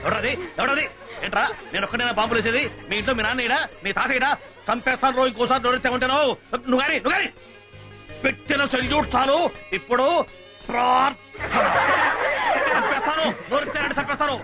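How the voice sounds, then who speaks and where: Scolding, high-pitched schoolteacher. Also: male voice, fairly even intonation, quick pace, over music. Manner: scolding, threatening, repeats his words. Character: bullying, easily outraged male village schoolteacher. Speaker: schoolteacher in a comic film. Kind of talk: comic film acting.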